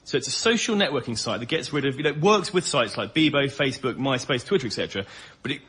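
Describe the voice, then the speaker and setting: A man performing as a comedy panel guest, pitching comic ideas in a matter-of-fact, conversational way. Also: lively intonation, quick pace, fairly bright tone. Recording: very noisy live show recording.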